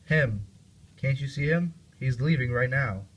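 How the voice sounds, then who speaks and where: A man's voice: medium pitch, expressive, measured pace, breathy edge, low-quality recording. Brooding young prince for a puppet play, male performer, accusing and half-mad, mid-range voice. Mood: agitated. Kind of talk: amateur puppet-show dramatic reading.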